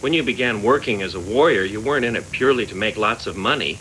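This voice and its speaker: A man acting a fatherly older mentor, grave, probing and gently disapproving, in an English anime dub. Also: on the low side, lively intonation, fast. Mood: concerned. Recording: hissy, noisy VHS tape.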